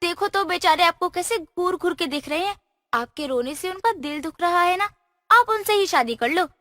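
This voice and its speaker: A woman's voice: very high voice, animated, moderate pace, pushed and bright, no echo, studio quality, heavily acted. A woman voicing a cheeky, playful fairy girl in a cartoon story. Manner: teasing, chirpy, mischievous. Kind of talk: cartoon voice acting.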